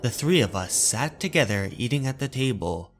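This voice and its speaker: A man's voice: mid-range voice, lively intonation, normal speed, faint music behind. A man delivering scripted narration of a horror story as narrator and host.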